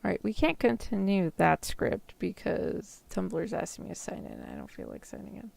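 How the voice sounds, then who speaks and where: A woman streamer talks in a loose, casual way with plenty of filler words. Her voice sits at a middle pitch with noticeable vocal fry. The sound is close and very dry. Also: huge pitch swings, moderate pace, a little mellow, no room sound. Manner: casual, loose, filler-heavy speech with frequent "like". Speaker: VTuber streamer practicing ASMR roleplay. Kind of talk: casual livestream chat, roleplay script practice.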